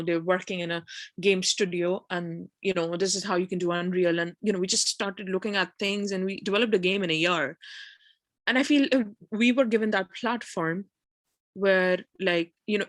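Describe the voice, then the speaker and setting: A woman's voice: mid-range voice, a bit bright, no room sound. A woman with a Pakistani accent speaks in a medium register with a dry sound. Her delivery is informal and hesitant, rambling a little as she shares a comment with the group. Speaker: PhD student and game developer, event participant.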